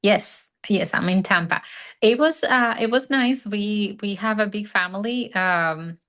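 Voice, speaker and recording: A woman's voice: mid-range voice, unhurried, a bit bright. A woman in her forties from the Dominican Republic with a Dominican Spanish accent, a chatty podcast guest who laughs often, on a video call. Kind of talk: casual interview chat.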